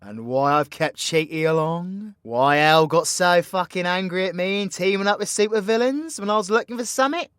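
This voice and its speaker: A man's voice: high-pitched, normal speed, hardly any pauses, a bit bright, clean tone, dry recording, clean studio sound. A British man playing a cocky, foul-mouthed, vengeful supervillain magician in an audio roleplay, with slangy, theatrical, teasing banter. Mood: dramatic build-up. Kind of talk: ASMR-style audio roleplay, addressing an unheard companion.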